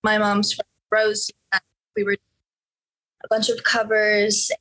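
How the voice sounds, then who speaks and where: A woman's voice: mid-range voice, completely flat delivery, measured pace, pushed and bright, rough recording. A teenage girl from Norway answering interview questions casually, hesitant, with many fillers and restarts. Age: teens. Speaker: interview guest, young musician.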